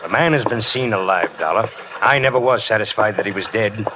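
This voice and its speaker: An actor playing a sceptical, matter-of-fact insurance claims chief in 1940s radio drama style, on an old recording. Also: male voice, lowish voice, fairly quick, background noise, close to an everyday voice.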